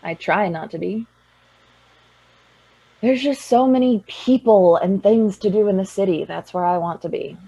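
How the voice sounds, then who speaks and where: A woman's voice: medium pitch, unhurried, long pauses, mellow, slightly rough, highs cut off. A young actress playing a tipsy, dry-witted woman from a small town in a radio drama. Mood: wry, tipsy.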